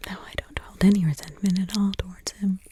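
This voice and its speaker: A woman's voice: mid-range voice, steady tone, dark tone, a little hiss or hum, close to an everyday voice. A woman whispering in ASMR roleplay as a weary, wry witch mother hiding in the forest. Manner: unvoiced whisper, slow and drawn-out. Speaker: ASMR creator.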